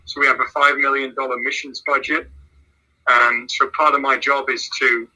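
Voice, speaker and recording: A man with an English accent speaks in a measured way as a missions pastor. His voice is very bright and a little husky. He often repeats words while gathering his thoughts. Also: medium pitch, flat delivery, low-quality recording. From England. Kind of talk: casual phone interview answers.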